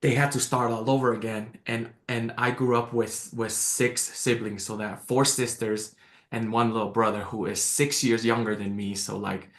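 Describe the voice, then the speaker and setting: Excited man. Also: mid-range voice, moderate pace. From American Samoa. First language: Tongan. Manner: excited, with many restarts and repeated words. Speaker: guest. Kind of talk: casual interview chat. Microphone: video call. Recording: echoey room on a call.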